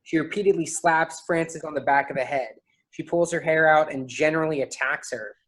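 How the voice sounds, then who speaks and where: A woman's voice: sits low, brisk, poor audio. A woman reading stage directions as scripted narration in a staged play reading.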